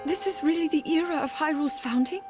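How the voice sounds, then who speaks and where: A woman's voice: high-pitched, fast talker, close to an everyday voice. A woman voicing an earnest, anxious and hesitant young princess in a video game cutscene. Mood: astonished. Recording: music behind the voice.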